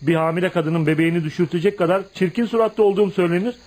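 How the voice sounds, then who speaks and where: An actor in a radio play voicing an embittered, proud young outcast who confides in a dramatic, emphatic storytelling manner. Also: male voice, sits high, very fast, a little mellow. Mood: pained, confiding. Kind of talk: radio theatre acting.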